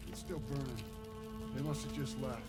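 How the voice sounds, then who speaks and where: An actor playing a gruff, hard-bitten World War II army sergeant in a video game, commanding and curt. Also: male voice, medium pitch, fairly even intonation, normal speed, close to an everyday voice. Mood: grim, subdued. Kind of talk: dramatic video game acting. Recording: very loud music and vehicle noise.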